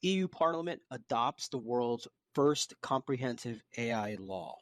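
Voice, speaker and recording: A man's voice: medium pitch, expressive, unhurried, no echo, studio quality. An American man co-hosting a podcast, going through the news in an informal, conversational way. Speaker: podcast co-host. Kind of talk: casual news discussion.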